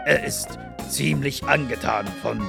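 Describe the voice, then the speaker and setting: A man's voice: medium pitch, measured pace, creaky. A man narrating a comedic crime audio drama as a bumbling, hesitant storyteller. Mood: hesitant, awkward. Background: music.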